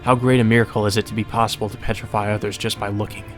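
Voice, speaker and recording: Low-pitched guy doing a let's play, reading story text out loud n commenting casually, music playing behind him. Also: little pitch movement, rapid-fire. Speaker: let's play narrator reading a visual novel aloud.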